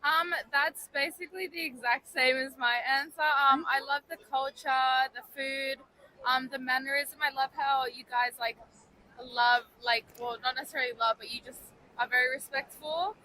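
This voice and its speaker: A woman's voice: high voice, fairly even intonation, moderate pace, bright, forceful tone, rough recording. A young woman from Australia on a student exchange, speaking casually and upbeat with many fillers. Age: young adult. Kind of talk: casual street interview. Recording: faint street noise with passing vehicles.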